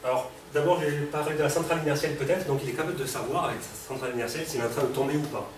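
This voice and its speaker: A man's voice: on the high side, rapid-fire. Man with a cold, demoing a robot. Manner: casual, lots of fillers, asks the audience questions. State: has a cold. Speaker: robotics presenter. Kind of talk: live technical demo to an audience. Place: hall with an audience. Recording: echoey room, distant mic, background noise.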